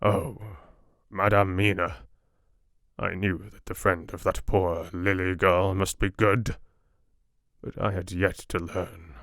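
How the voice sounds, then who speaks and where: A man playing a low-voiced, courtly older doctor. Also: measured pace, a bit bright, no echo, studio quality. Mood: tender, courteous. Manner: formal, courteous, quaint foreign phrasing. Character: courtly, earnest older male foreign doctor. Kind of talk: audiobook character dialogue.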